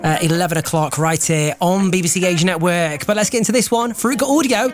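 A woman's voice: fairly low pitch, fairly quick. A woman with a Mancunian accent presenting on radio in a playful, slangy, casual chat style. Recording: music bed behind the voice. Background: music.

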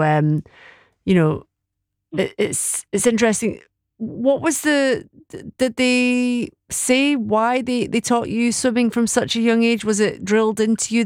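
A woman hosting a radio phone-in, asking unhurried, musing questions with fillers. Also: mid-range voice, darkish tone, no echo, studio quality. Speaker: radio host.

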